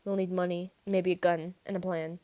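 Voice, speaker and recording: A woman's voice: fairly low pitch, level tone, moderate pace, mellow, no echo, lightly acted. A woman voicing a tense, earnest teenage boy in a story reading, speaking in terse phrases. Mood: urgent, controlled. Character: quiet, tense teenage boy, steady but rattled. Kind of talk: audiobook-style character dialogue.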